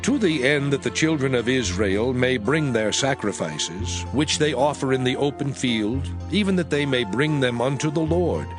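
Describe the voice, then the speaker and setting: A male narrator reads scripture in a solemn, expressive way, his voice rising and falling widely at a mid pitch. Clear music plays behind him throughout. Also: normal speed, few pauses.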